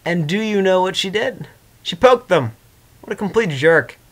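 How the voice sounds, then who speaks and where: A man plays a sardonic male chaos spirit imprisoned in stone. The voice is fairly high, thinking aloud in a dry, skeptical monologue in a storybook reading. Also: animated, normal speed, a bit bright. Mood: sarcastic.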